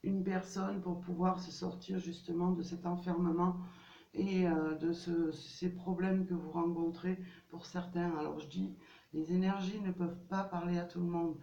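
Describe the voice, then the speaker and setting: A woman's voice: lowish voice, level tone, moderate pace, vocal fry, warm tone. A woman with a cold giving a casual tarot card reading, hesitant and full of fillers and restarts. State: has a cold. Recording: distant, dull, roomy home recording.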